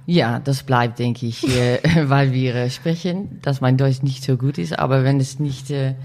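A Dutch woman in her forties with a Dutch accent, a podcast guest speaking halting German, searching for words with many fillers. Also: rumbling low, a little mellow. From Netherlands. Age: 40s. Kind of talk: casual interview chat.

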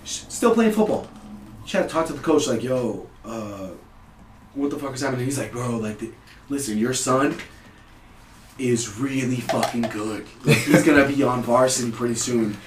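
A man's voice: mid-range voice, moderate pace, recorded from a distance. A man in his thirties from Puerto Rico, a podcast guest telling stories in a loose, profane, laughing chat. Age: 30s. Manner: animated, profane, laughs often. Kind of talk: casual storytelling chat. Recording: roomy, off-mic with faint hiss.

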